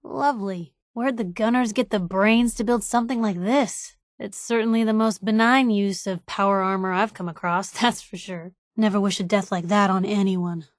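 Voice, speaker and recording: A woman's voice: mid-range voice, steady tone, moderate pace, hardly any pauses, no echo, clean studio sound, close to an everyday voice. An actress playing a sardonic, plucky young woman reporter in a video game, with wry, conversational quips. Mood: somber. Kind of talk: video game companion voice acting.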